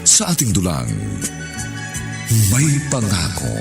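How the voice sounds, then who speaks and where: A man's voice: sits low, wildly swooping pitch, takes it slowly. A man announcing a radio drama in a dramatic presentational style. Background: music.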